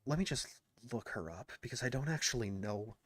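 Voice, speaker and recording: A man's voice: mid-range voice, fairly quick, no room sound. Indignant man reacting with many fillers. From United States. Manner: animated, indignant, thinks aloud with many fillers. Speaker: commentator reacting to clips. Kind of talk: casual reaction commentary.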